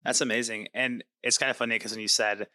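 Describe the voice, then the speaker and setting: A man's voice: medium pitch, little pitch movement, fast talker, bright, forceful tone. A man hosting a podcast, introducing his guest in an upbeat, chatty way with plenty of fillers. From not from New York. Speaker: podcast host. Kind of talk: casual interview.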